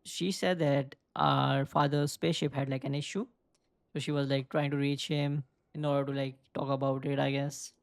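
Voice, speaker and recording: A man's voice: mid-range voice, little pitch movement, normal speed, vocal fry, no echo, clean studio sound. A young man casually commenting on a video game, thinking aloud and rambling with lots of filler words. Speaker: gaming video creator. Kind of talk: casual let's play commentary.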